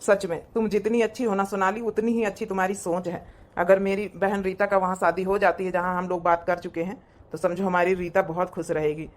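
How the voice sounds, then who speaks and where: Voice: mid-range voice, level tone, a little fast, natural voice. A performer voicing a protective, caring young village husband and brother with an Awadhi accent, earnest in manner.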